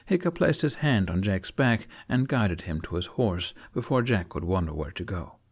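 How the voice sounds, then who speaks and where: A man reading a scripted fantasy story aloud in an expressive audiobook style. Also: on the low side, normal speed, slightly rough. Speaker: fan fiction narrator.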